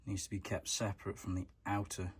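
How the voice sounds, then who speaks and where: A man's voice: sits low, flat delivery, fairly quick, breathy edge. A man giving a casual, matter-of-fact hands-on car tutorial, explaining things step by step in informal words. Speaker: DIY car mechanic vlogger.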